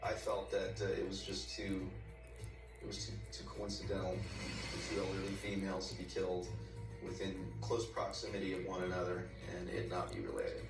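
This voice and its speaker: Very deep gravelly man, halting. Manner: halting, repeats words. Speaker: police investigator being interviewed. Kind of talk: unscripted documentary interview. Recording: echoey distant TV playback under loud music.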